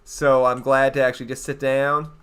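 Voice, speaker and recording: Canadian man, young adult, gaming streamer, rambling casual chat, mid-pitched voice. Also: little pitch movement, moderate pace, fairly bright tone, clear, resonant tone. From eastern Canada. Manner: chatty, rambling, reads out and answers viewer chat. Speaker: game streamer.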